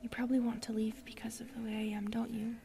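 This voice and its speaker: A woman voicing a shy, lonely, gentle young forest spirit in soft, hesitant whispers, as ASMR roleplay. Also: a little high, flat delivery, fast, vocal fry. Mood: self-doubting, sad.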